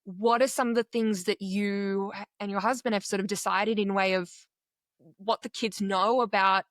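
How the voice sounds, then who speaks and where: A woman's voice: mid-range voice, fairly even intonation, normal speed, no echo, studio quality. A woman hosting a podcast, asking loose, supportive questions with lots of fillers. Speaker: podcast host. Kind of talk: casual interview questions.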